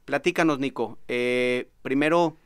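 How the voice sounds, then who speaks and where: Mexican guy hosting a podcast interview, really clear smooth voice, dry studio sound. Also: medium pitch, measured pace, no room sound. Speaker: podcast host. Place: small padded podcast studio, indoors. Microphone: studio microphone with headphones.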